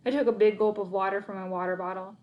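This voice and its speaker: A woman's voice: medium pitch, steady tone, fast talker, narrow, tinny audio. A woman narrating a children's book aloud in the first person, reading expressively.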